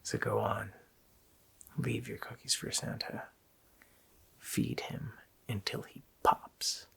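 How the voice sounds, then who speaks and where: A wicked, child-snatching Christmas demon in an ASMR roleplay. The voice is a sharp, hissing whisper that breaks into gravelly fry. He taunts slowly, with mocking menace. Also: medium pitch, full character voice. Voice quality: breathy, gravelly rasping whisper.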